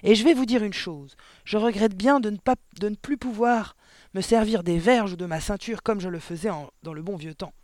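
A man's voice: high-pitched, animated, brisk, no room sound. A man voicing a huge, bullying, spiteful middle-aged headmistress in a storybook, barking scornfully and emphatically. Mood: furious, menacing.

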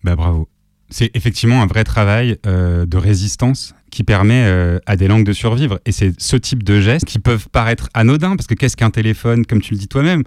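A male radio show host interviewing a guest in a relaxed, conversational manner. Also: fairly low pitch, normal speed, no room sound, clean studio sound.

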